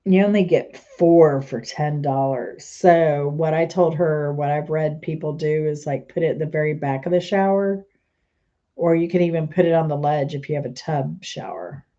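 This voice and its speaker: Woman host, stuffy and congested, casual rambling chat, low voice, dull lo-fi recording. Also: warm tone. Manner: chatty, rambling, trails off mid-sentence. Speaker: channel host.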